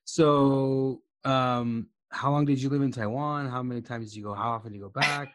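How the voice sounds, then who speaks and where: Soft-spoken curious interviewer. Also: mid-range voice, fairly even intonation, moderate pace. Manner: soft, curious, short acknowledgments. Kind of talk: casual interview.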